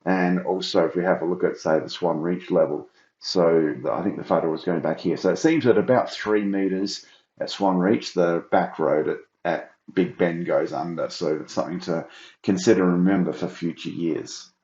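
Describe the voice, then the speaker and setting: A middle-aged man with a very low, croaky voice talks through his report, thinking aloud with plenty of fillers as he explains. The recording sounds roomy and a little dull. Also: fairly even intonation, normal speed, darkish tone. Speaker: data analyst presenting a flood report. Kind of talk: screen-share walkthrough.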